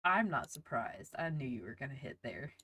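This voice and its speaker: A woman's voice: rumbling low, big pitch swings, moderate pace, pushed and bright, breathy edge. A woman hosting a casual livestream, chatting to viewers in a bubbly, enthusiastic manner. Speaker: livestream host, VTuber; teacher.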